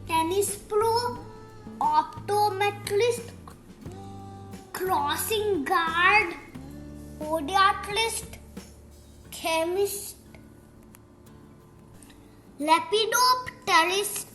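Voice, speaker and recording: A young girl reading a rhyming picture book aloud in a deliberate, sing-song storytime style. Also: extremely high pitch, sharp tone. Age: child. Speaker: young reader of picture books. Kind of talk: read-aloud storytime narration of rhyming verse. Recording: roomy echo, music playing behind. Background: music.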